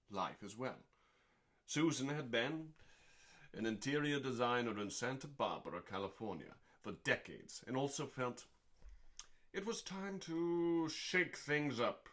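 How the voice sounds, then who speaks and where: A man reading a book aloud as a show host, in a deliberate, dramatic bedtime-story style with pauses and drawn-out words. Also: on the low side, fairly bright tone. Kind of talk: slow dramatic book reading, meant as calming bedtime listening.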